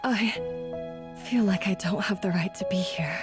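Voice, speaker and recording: A woman acting a timid, self-doubting young head chef in a video game, earnest and soft-spoken. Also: mid-range voice, moderate pace, over music, natural voice. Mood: nervous, hesitant.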